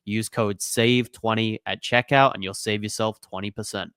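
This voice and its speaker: A man with the flu walks through crypto charts in a relaxed, chatty monologue, tossing in "you know" and "okay?" as he goes. His voice stays smooth and resonant despite the illness. The sound is dry and close, with no room echo. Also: on the low side, normal speed. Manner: conversational, filler-heavy, "you know" and "okay?" tags. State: has the flu, voice a bit off. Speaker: crypto channel host. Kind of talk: casual chart analysis monologue.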